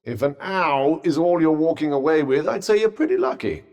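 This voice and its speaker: A man's voice: fairly high pitch, lively intonation, normal speed, darkish tone, big-room sound. An actor playing a dry-witted, sardonic male magic bracelet in a video game, speaking with posh understatement. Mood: wry. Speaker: companion character. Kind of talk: game voice lines.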